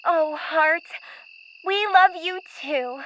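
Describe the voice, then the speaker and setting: A woman's voice: extremely high pitch, slow, fairly bright tone, muffled recording, soft background music, heavily acted. A woman playing an earnest, hopeful young girl castaway in a radio play. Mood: anxious, loving.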